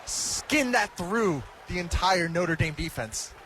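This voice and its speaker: A man's voice: high voice, expressive, slow pace, a bit bright, slight background noise. A young man giving live basketball analysis as a radio color commentator, conversational and emphatic. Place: courtside in a large arena.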